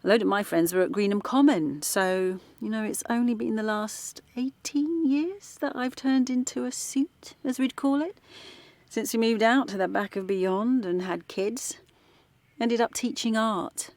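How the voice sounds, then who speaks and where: An actress playing a middle-aged former activist turned art teacher from London, talking softly late at night in a radio drama. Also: female voice, a little high, a little slow, no echo. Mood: reflective. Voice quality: soft, hushed late-night talk. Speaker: character in audio drama.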